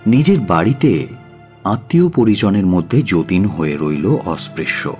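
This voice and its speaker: A man narrating a dramatic audio story in a measured, solemn and expressive way. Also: low voice, husky. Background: faint music.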